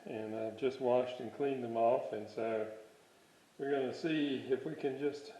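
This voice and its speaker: A man giving a casual hands-on workshop demonstration, halting and thinking aloud as he works. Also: medium pitch, fairly even intonation, dark tone. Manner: musing aloud, halting, thinking while working. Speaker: hobbyist presenter of a restoration video series. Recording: dull, roomy home workshop recording.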